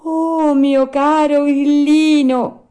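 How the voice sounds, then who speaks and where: A woman's voice: medium pitch, lively intonation, unhurried, mellow, voice pushed up, lightly acted. A woman voicing an earnest, cheeky puppet boy in a storybook, with emphatic, sing-song delivery. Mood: polite.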